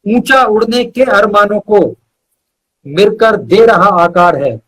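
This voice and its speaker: A man's voice: high-pitched, lively intonation, takes it slowly, fairly bright tone, rough recording. A man reciting poetry as a guest poet, repeating lines for emphasis, with short asides to listeners.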